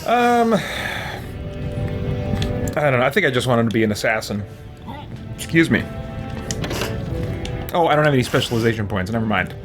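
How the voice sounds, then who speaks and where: A man's voice: medium pitch, sing-song, moderate pace, long pauses. Man streaming a game over music. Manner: thinking aloud, musing to himself. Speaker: host of a gaming let's play stream. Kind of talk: casual live gameplay commentary, answering viewer questions. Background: video game music.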